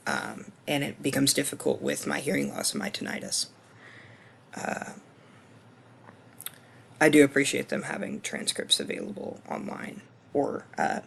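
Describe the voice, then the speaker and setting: Hoarse young man with heavy vocal fry. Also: mid-range voice, a little hiss or hum. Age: 20s. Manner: casual, hesitant, many ums and restarts. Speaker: student. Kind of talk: screencast course reflection.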